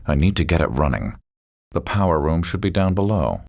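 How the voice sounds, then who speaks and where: A man voicing a cold, arrogant superhuman villain for a video game, speaking with smug, deliberate menace and theatrical mockery. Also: low voice, big pitch swings, gravelly, darkish tone, exaggerated voice. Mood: calm, calculating. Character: cold, arrogant male villain, superhuman schemer with sinister calm.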